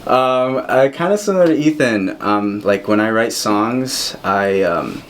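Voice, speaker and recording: A young man in his early twenties with a lowish voice chats as a radio guest. He is laid-back and slangy, with faint noise behind him. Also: animated, unhurried. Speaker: guest singer-songwriter. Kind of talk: casual chat. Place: local radio studio.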